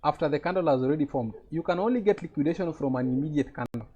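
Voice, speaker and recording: A man's voice: on the high side, rapid-fire, mellow, muffled recording, a little hiss or hum. A man with a Kenyan accent teaching a live trading lesson over a video call, explaining step by step and checking that his students follow.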